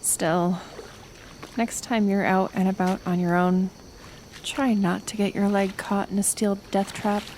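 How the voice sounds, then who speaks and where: A woman playing a kind, stubborn healer in an intimate ASMR roleplay, speaking in a gentle, halting, soothing way that often slips into whispers. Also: mid-range voice, little pitch movement, a little hiss or hum, lightly acted. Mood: curious, dry.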